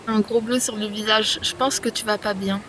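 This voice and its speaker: A woman voicing a blunt, adventurous young pupil in a French animated fan dub. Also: mid-range voice, normal speed, background noise, lightly acted. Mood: concerned.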